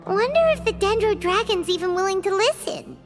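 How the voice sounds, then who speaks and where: A woman voicing a tiny, chirpy fairy companion in a game who talks about herself in the third person and gets excited easily. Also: very high-pitched, a little fast. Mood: doubtful. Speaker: game character. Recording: game audio with music behind.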